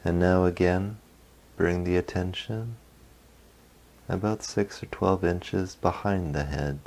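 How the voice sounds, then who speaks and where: Very deep, slow man guiding meditation. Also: steady tone, rough recording. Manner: gentle instruction in short phrases with long pauses. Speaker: meditation guide. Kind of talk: guided meditation.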